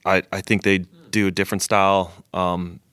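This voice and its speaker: A man's voice: deep voice, little pitch movement, normal speed, a bit bright, clear, resonant tone, dry recording, clean studio sound. A man who works as a chef, chatting enthusiastically on a podcast and often repeating words as he starts a sentence. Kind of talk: casual podcast chat.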